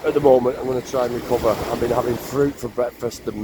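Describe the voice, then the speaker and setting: A man's voice: mid-range voice, level tone, fast talker, background noise. An older man, chatting casually to camera in an easygoing way for his travel vlog. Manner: easygoing, chatty, with little fillers. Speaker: travel vlogger.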